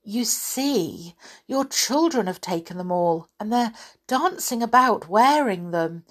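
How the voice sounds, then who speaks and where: A woman's voice: mid-range voice, expressive, slow. A woman reading a story aloud, voicing a nervous, polite boy who stammers.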